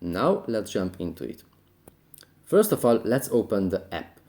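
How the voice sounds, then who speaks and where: Low-pitched man presenting a tutorial. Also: very animated, unhurried, a little mellow. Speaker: tutorial host. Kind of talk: scripted how-to narration.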